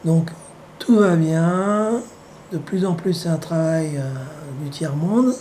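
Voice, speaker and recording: A hoarse man with the flu, speaking at home in a rambling, sardonic monologue full of hesitations. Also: on the high side, slow pace, mellow, slight background noise. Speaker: vlogger.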